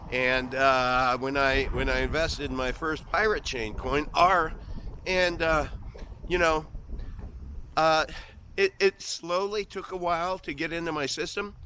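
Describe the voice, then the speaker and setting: A man's voice: on the high side, fairly even intonation, measured pace, sharp tone, slight background noise, poor audio. A man in his fifties with a Midwestern accent, joking through a rambling vlog monologue on a walk outdoors, often trailing off and restarting. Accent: Midwestern American. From American Midwest. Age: 50s. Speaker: vlogger.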